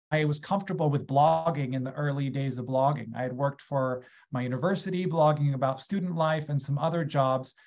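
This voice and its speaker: A man's voice: a little high, darkish tone. A man in his thirties with an American accent, speaking as an invited guest on a webinar, enthusiastic and gesturing as he talks over a video call from a small room. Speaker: guest speaker, agriculture company founder. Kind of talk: conversational webinar talk. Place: small room at home, indoors. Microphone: webcam on a video call.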